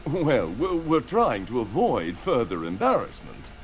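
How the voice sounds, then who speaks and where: A man's voice: medium pitch, wildly swooping pitch, unhurried, soft background music. An actor playing an awkward, timid middle-aged father in a video game, stammering and hesitant. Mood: awkward, embarrassed.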